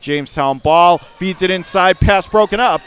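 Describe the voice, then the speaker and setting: A man doing live radio play-by-play of a basketball game in clipped running phrases, with excitable calls. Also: high-pitched, moderate pace, smooth, some chatter behind. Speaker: play-by-play commentator.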